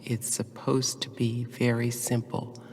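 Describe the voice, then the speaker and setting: An actor playing a cold, proud emissary of higher powers, speaking with measured, dogmatic solemnity in a video game monologue. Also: male voice, completely flat delivery, a little mellow, breathy edge. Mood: cold certainty. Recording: long hall reverb.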